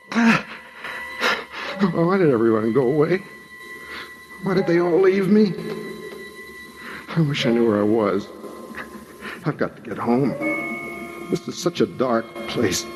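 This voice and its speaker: A man's voice: mid-range voice, rough recording. An actor playing a frightened, bewildered, pleading man in a nightmare, in 1950s radio drama style. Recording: vintage radio recording with music behind.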